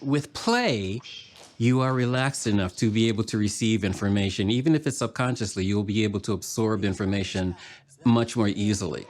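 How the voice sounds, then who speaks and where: A man speaking as an artist and puppeteer in a reflective documentary interview, measured and explanatory. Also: lowish voice, slight background noise.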